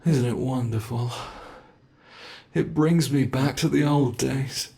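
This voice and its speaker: A man's voice: mid-range voice, slow, creaky, dark tone. A man voicing a centuries-old predatory monster in an ASMR roleplay, theatrical and taunting, savoring each phrase with haughty, possessive menace. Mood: gleefully taunting.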